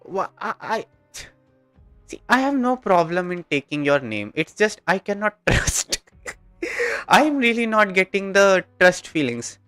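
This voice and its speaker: A man's voice: high voice, sing-song, a little slow, a bit bright, dry recording, soft background music. A man with an Indian accent chatting casually with viewers on a gaming livestream, informal and hesitant, often repeating himself.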